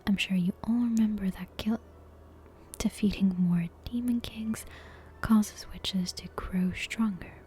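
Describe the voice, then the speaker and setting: A woman's voice: mid-range voice, fairly even intonation. Whispering woman reading a bedtime story. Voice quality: soft, breathy unvoiced whisper. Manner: hushed, deliberate storybook narration. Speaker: ASMR storyteller. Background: soft game soundtrack music.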